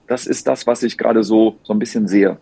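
A man's voice: lowish voice, fairly even intonation, a little fast, gravelly, warm tone, husky, no echo. A man from the Ruhr area in his thirties, an event organizer talking animatedly in a casual podcast chat, often restarting his sentences. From Ruhr area, Germany.

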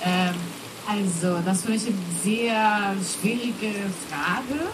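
A woman's voice: medium pitch, slow, background noise. Hesitant woman on a rainy festival stage. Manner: hesitant, searching for words, many fillers. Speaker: festival booking team member. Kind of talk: live panel talk. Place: festival tent in the rain.

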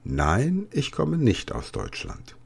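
A man's voice: medium pitch, takes it slowly, gravelly, slightly rough. A native German man hosting a language podcast, explaining in English and then answering practice questions with playful asides. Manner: lively, jokey asides in answers. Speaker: language teacher and podcast host.

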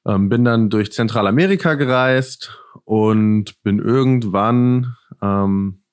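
Low-voiced young man, reflective. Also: takes it slowly, darkish tone, dry recording, clean studio sound. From northern Germany. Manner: reflective, searching for words. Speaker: podcast guest, founder. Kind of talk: casual interview answers.

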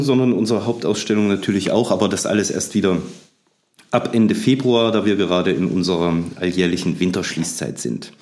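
A man in his forties hosting a museum history podcast, chatty with frequent fillers and restarts. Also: roomy sound. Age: 40s. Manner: chatty, many fillers and restarts, lively rises in pitch. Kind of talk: casual podcast chat.

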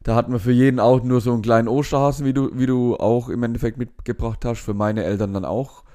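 A man co-hosting a casual chat, relaxed and loose, leading the conversation with light swearing. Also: medium pitch, fast talker.

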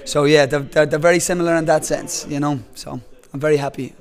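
Male coach, calm, hesitant, fillers, slightly high pitch. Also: fairly quick. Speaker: fight coach. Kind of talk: post-fight interview.

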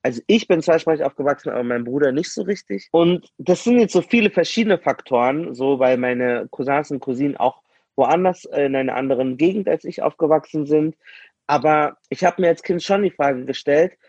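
A young man with a slightly raised, husky voice talks through his thoughts in a casual panel chat, rambling and restarting as he goes. The sound is dry and a little dull. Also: fairly high pitch, fairly quick, darkish tone, no room sound. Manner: rambling, thinking aloud with restarts. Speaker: panel guest. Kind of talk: casual group discussion.

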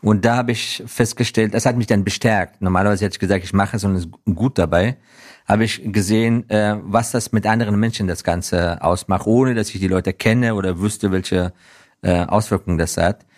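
A man from Afghanistan speaking as an interview guest, reflecting earnestly in conversational answers with halting pauses and self-corrections. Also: on the low side, steady tone, a little mellow. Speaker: interview guest, business professional.

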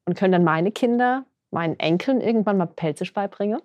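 A woman hosting a podcast interview, chatty and quick to laugh. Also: mid-range voice, lively intonation, darkish tone, no room sound, highs cut off. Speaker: podcast host. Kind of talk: conversational interview.

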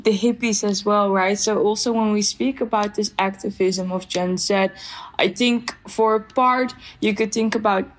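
A young woman speaking in a podcast interview as a guest expert, talking in a thoughtful, measured way with frequent fillers. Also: mid-range voice, steady tone. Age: young adult. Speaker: youth activism guest expert.

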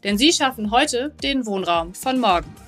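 A woman's voice: a little high, lively intonation, normal speed, a bit bright, faint music behind. A woman hosting a podcast interview, reading friendly, scripted introductions and asking questions. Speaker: podcast host.

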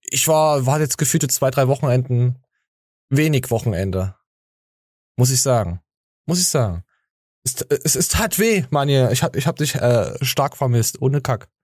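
A man's voice: mid-range voice, lively intonation, unhurried, darkish tone, no room sound, clean studio sound. A man hosting a podcast, joking and teasing in casual chat, with many fillers and restarts. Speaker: podcast host.

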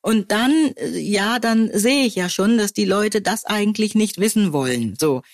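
A woman's voice: mid-range voice, moderate pace, no room sound. Wry storytelling woman, dry sound. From Germany. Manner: wry storytelling, quoting others in lively voices. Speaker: podcast co-host. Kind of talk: casual conversation.

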